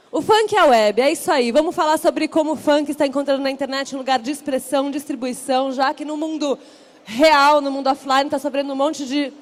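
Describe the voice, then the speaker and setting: A woman with a São Paulo accent hosts a panel on stage, her voice sitting high. She talks in an upbeat, chatty way and keeps the audience involved. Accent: São Paulo Portuguese. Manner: upbeat, chatty, rallies the crowd. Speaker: event host. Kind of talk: live stage hosting. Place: stage at a large event.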